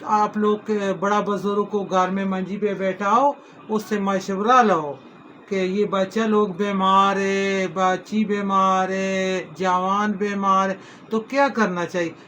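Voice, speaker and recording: A man's voice: sits high, level tone, takes it slowly, bright, forceful tone, a little hiss or hum. A middle-aged man, hosting a home cooking video in a folksy, rambling way, full of homely advice and blessings. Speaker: cooking channel host. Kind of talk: casual home cooking vlog.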